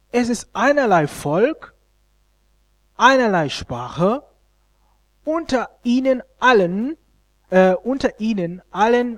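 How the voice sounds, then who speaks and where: A man, a Luganda speaker, preaching a sermon in an expressive, halting way and often stumbling over words. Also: high voice. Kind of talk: sermon with scripture reading and commentary.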